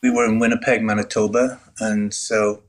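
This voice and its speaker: A man in his sixties from Northern Ireland, a guest telling his life story in a relaxed, conversational way, full of "you know". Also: on the low side, moderate pace. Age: 60s. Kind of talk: candid storytelling.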